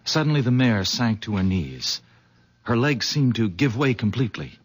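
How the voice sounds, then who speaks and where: An actor playing an eager horse owner who stammers and then gushes, in a 1970s radio drama. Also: male voice, medium pitch, normal speed, husky. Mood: earnest storytelling. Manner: hesitant, stammering, then excitedly effusive. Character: eager, fussy middle-aged horse owner. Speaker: visitor.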